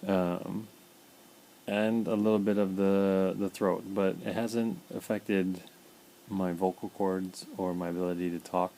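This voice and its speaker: A man talking casually in a personal video diary, halting with fillers and repeated words, his mouth dry. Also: low voice, little pitch movement, dark tone, a little hiss or hum. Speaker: vlogger documenting his illness.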